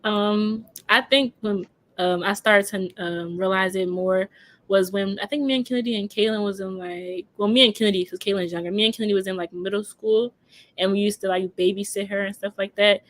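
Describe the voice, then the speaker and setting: Young woman around 19, normal pitch, just chatting casually. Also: fairly even intonation, a little fast, a bit bright. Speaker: panel guest. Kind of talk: casual chat.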